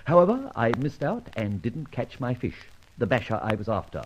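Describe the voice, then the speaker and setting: An actor playing a cool, wily detective with a breezy, cheeky delivery in an old-time radio drama. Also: male voice, mid-range voice, huge pitch swings, normal speed. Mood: wry, composed. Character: cool, wily male detective bluffing crooks. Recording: noisy vintage radio recording with clicks.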